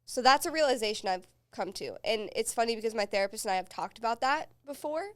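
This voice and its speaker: A woman's voice: fairly high pitch, animated, fast talker, fairly bright tone. A young woman hosting a podcast, telling casual stories with frequent laughs and swearing. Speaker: podcast host. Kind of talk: casual chat.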